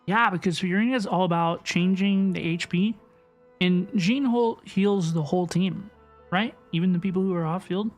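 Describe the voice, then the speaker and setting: A woman's voice: fairly low pitch, normal speed, darkish tone. A woman livestreaming a game, chatting casually and effusively as she answers her viewers. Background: game music.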